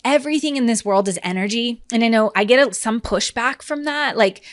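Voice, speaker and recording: A woman podcast host and coach, talking straight to the listener with passionate emphasis and a slightly hoarse voice. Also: on the high side, brisk, no room sound. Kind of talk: energetic motivational podcast talk.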